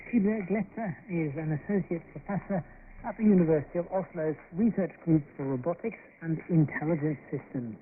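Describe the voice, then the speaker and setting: A man working as a radio reporter, presenting a feature report. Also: fairly high pitch. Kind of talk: scripted radio report narration. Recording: tinny, hissy shortwave radio broadcast.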